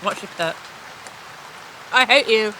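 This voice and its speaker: A woman's voice: medium pitch, slow, close to an everyday voice. An actress playing a caring, plain-speaking, world-weary woman with a wry, frank manner in an audio comedy drama. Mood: exasperated. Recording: clearly noisy, rain-like background.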